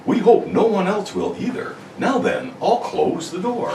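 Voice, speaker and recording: A man's voice: sits high, creaky, darkish tone. A man voicing a polite, formal butler guarding a secret house in an amateur read of a radio serial. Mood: courteous, formal. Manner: formal, deliberate servant-like speech. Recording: echoey room, distant mic, faint noise.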